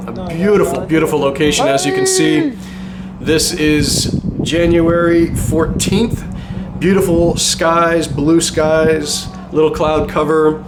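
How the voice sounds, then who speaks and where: A man's voice: a little high, very noisy. A man giving casual voice-over commentary on his own paintball game footage, chatty and self-deprecating, with small chuckles.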